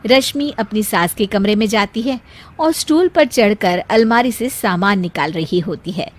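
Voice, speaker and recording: A woman's voice: medium pitch, animated, moderate pace. A woman narrating a scripted cartoon story.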